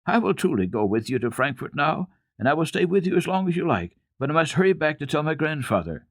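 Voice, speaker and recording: A man's voice: a little high, big pitch swings, quick pace, no echo, studio quality, pitched above the performer's own voice. A man voicing an earnest, affectionate, tearful little girl with a pleading, impulsive manner in a dramatic children's storybook reading. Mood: tearful, eager.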